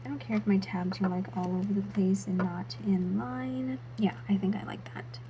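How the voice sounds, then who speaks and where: A woman vlogger narrating her planner setup in a soft, half-whispered voice, her throat still a little sore. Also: mid-range voice, fairly even intonation, normal speed, noisy background. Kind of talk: casual voice-over while setting up a planner.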